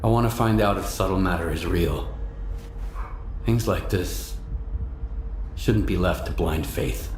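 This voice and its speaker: A man's voice: low voice, measured pace, long pauses, darkish tone, roomy sound, slight background noise. An actor playing a stoic male military veteran and loner in a video game, terse, reserved and serious. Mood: earnest, guarded. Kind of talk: video game cutscene acting.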